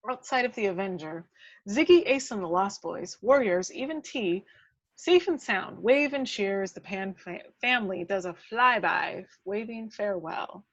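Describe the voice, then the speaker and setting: A woman's voice: mid-range voice, animated, moderate pace, dry recording. A woman reading out the action of a screenplay as a dramatic narrator. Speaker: script narrator. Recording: dull lo-fi, dry microphone.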